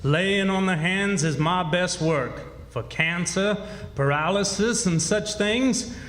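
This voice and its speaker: A man playing a shifty, folksy backwoods quack preacher in a radio drama, with rustic, drawn-out talk. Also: high voice, fairly bright tone. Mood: boastful. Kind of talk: old-time radio drama acting. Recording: echoey live hall, distant reverb.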